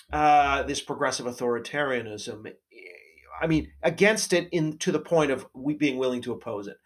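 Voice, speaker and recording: A man hosting a conversation, laying out his arguments in an articulate way and often letting his voice trail off quietly. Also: mid-range voice. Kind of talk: casual conversation.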